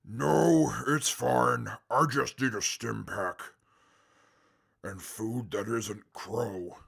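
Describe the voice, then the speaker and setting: Huge mutant brute for a radio drama: very gravelly, slow, indignant and formal. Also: male voice, medium pitch, full character voice. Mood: gruff, grumbling. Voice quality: gravelly, forceful. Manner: formal, blustering, indignant speech. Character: big green indestructible mutant brute, pompous, short-tempered, hungry. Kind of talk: post-apocalyptic audio drama acting.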